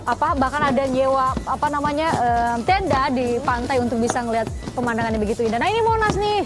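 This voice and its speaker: High-voiced, brisk woman presenter over loud music. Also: animated. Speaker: television presenter. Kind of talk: live broadcast commentary and co-host chat on New Year celebrations.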